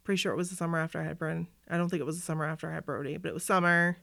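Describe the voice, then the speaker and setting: A woman's voice: low-pitched, rapid-fire, vocal fry, no room sound, clean studio sound. A woman co-hosting a casual podcast chat, telling stories with lots of fillers, a little sniffly from a cold. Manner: chatty, animated storyteller with many fillers. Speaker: podcast co-host. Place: basement room.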